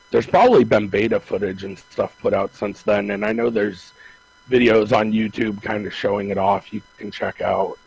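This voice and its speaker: Gravelly, husky low male voice. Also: a little mellow, rough recording. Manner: rambling, hesitant, many restarts. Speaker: podcast co-host. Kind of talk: casual chat.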